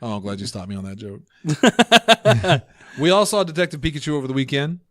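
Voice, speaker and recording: एक आदमी जो पॉडकास्ट का सह-होस्ट है, मज़ाकिया और व्यंग्य भरे अंदाज़ में बातें करता है और अक्सर हँसता है। रिकॉर्डिंग सूखी और पास के माइक से है, गूँज नहीं।. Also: male voice, fairly high pitch, big pitch swings, moderate pace, fairly bright tone, no room sound, clean studio sound. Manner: joking, sarcastic, laughs often. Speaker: podcast co-host. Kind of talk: casual podcast chat.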